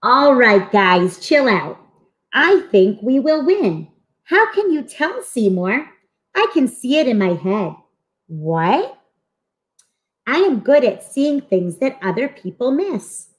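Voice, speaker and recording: Lady reading friendly comic book school kids, earnest and eager, voice goes up and down a lot. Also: a little high. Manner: expressive, earnest. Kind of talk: animated storybook read-aloud.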